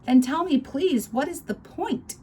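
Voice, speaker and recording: A woman reading the lines of an exasperated, fair-minded husband, with even, slightly defensive replies. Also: high-pitched, unhurried, slight background noise, pitched above the performer's own voice.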